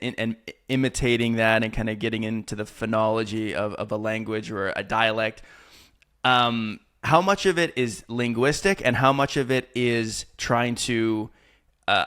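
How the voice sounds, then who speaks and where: A man's voice: mid-range voice, normal speed, fairly bright tone, clean tone. Curious male podcast host asking questions. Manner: curious, asks follow-up questions, some hesitation fillers. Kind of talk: interview questions.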